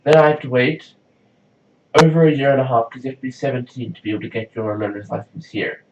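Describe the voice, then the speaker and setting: A man's voice: mid-range voice, fast, a little hiss or hum. Chatty young man, distant roomy recording. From South Africa. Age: young adult. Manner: rambling, chatty, says "like" often. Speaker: vlogger. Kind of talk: casual diary vlog. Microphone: camera mic from a distance. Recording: roomy, distant, dull lo-fi sound.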